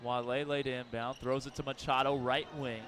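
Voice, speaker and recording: A man calling live basketball play-by-play for radio in clipped descriptive phrases, quick to get excited. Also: medium pitch. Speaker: play-by-play announcer. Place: courtside in an arena. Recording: noisy gym with bouncing balls. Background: basketball game arena noise.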